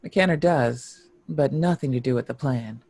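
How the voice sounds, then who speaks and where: A male narrator reads screenplay directions in a mellow, fry-heavy voice of middle pitch. The sound is very dry, with no room echo. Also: measured pace. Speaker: narrator reading stage directions.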